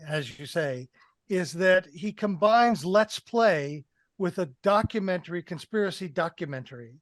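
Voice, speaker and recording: A man's voice: high voice, expressive, a little slow, dry recording. An older man in his sixties talks through a film in casual, analytical discussion. His headset mic gives a dry, close sound with no room in it. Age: 60s. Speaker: film review podcast panelist. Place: indoors, on a video call.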